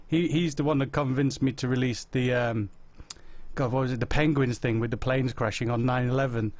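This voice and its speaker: A man in his forties with a Yorkshire accent, casually chatting live and answering viewer questions with self-mocking humour. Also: mid-range voice. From Yorkshire, England. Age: 40s. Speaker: host answering viewer chat.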